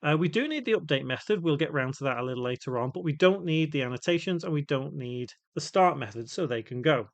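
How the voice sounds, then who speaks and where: Man narrating a tutorial, slightly high voice. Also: expressive, quick pace, gravelly, few pauses, dull audio. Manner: explanatory, spells out code piece by piece. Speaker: tutorial host. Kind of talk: step-by-step software tutorial narration.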